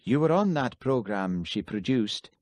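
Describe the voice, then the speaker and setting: A man doing a calm policeman. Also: mid-range voice, measured pace, no echo, pitched above the performer's own voice. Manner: quiet, level, courteous questioning. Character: calm Scottish village policeman, patient and dry.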